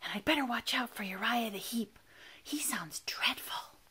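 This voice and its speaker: A woman voicing a timid, lonely, gentle monster in a storybook, plaintive and hesitant. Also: a little high, very animated, moderate pace. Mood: worried.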